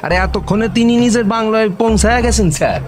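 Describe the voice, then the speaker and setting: An actor playing a servile, slow-witted office peon in a radio comedy, with a rustic regional Bengali accent and a stammering, deferential manner. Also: male voice, sits high, lightly acted. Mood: flustered. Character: sleepy, bumbling, servile male office peon.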